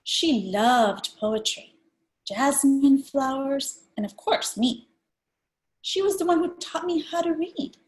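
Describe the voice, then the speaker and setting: Woman reading as a gentle storybook father, slow, tender, barely changed voice. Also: sits high, pitched above the performer's own voice, rough recording.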